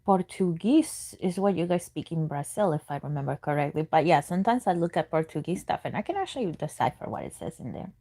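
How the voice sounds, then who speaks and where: Woman, native Spanish speaker, casual tarot reader, low voice, muses aloud while pulling cards. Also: expressive, fast talker, hardly any pauses, mellow. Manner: chatty, thinking aloud, murmurs while reading cards. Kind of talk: live tarot reading, answering chat questions.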